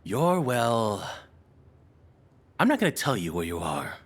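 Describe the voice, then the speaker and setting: A man playing a nervous, lovestruck kidnapper in an audio roleplay, apologetic and obsessive, speaking in halting, rambling stammers. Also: medium pitch, very animated. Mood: awkward explaining. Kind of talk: intimate dramatic audio roleplay monologue.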